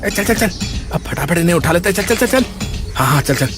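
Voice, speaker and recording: Man voicing a high-pitched scheming village vegetable seller. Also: lively intonation, fast talker, music in the background. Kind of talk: comic cartoon dialogue.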